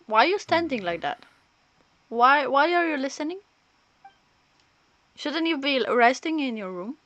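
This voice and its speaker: A woman's voice: sits high, big pitch swings, measured pace, fairly bright tone, no room sound, muffled recording. A woman streaming a game, commenting casually in a chatty, rambling, hesitant way. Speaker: livestreamer.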